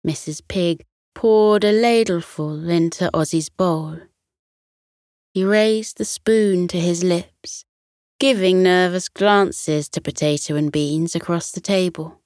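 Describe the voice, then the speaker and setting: Very slow, soothing female bedtime storyteller. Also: lowish voice, no echo, clean studio sound. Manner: soothing, deliberate, expressive. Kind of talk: scripted children's bedtime storytelling.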